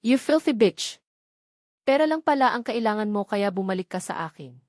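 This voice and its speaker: A woman's voice: mid-range voice, animated, moderate pace, a little mellow, no echo, natural voice. A domineering, wounded ex-groom , acted out by a woman narrator spits harsh accusations in a Filipino accent. The audiobook sound is close and dry. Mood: furious, accusing. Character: furious, cruel, betrayed man, domineering former groom.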